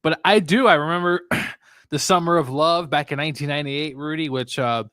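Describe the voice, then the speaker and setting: A man's voice: fairly high pitch, moderate pace, a bit bright, dry recording, clean studio sound. Man in reflective, casual sports talk. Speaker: sports show co-host.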